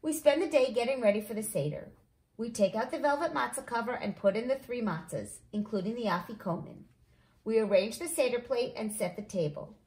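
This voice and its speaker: Animated woman reading a storybook, echoey room. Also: a little high, brisk. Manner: animated, acts out dialogue. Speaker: read-along host, storyteller. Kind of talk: expressive children's storybook reading.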